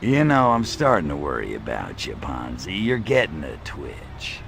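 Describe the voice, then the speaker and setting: A man voicing an irritable, lecherous little alien invader in a video game, gravelly, with a sarcastic, slangy tough-guy delivery. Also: very deep voice, wildly swooping pitch, measured pace, fairly bright tone, slight background noise, heavily acted. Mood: wry, cocky. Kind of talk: video game cutscene acting.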